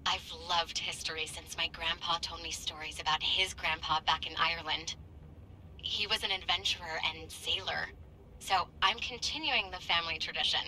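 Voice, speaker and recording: A woman's voice: fairly low pitch, moderate pace, sharp tone, rough recording. An actress playing an eager, bookish young woman researcher in a video game, earnest and enthusiastic. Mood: eager, admiring. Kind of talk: video game cutscene acting.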